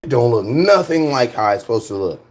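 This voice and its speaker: A young man hosting a casual fan show, talking in slang and repeating himself when excited. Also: mid-range voice, brisk. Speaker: sports fan show host. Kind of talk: casual live-stream chat.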